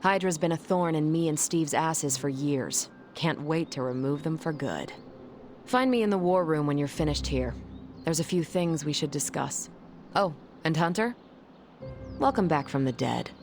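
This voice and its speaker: An actress playing a confident, wisecracking superhero and former pilot in a video game, with a breezy, joking manner. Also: female voice, sits low, animated, moderate pace, darkish tone. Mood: confident, determined. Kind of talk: video game cutscene dialogue. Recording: music under the dialogue. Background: music.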